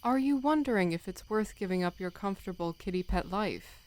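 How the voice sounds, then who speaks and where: A woman voicing a stern, dignified female cat who leads a clan, in a measured, commanding audiobook drama style. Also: medium pitch, expressive, darkish tone, dry recording, close to an everyday voice. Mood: solemn. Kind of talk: audiobook fandub acting.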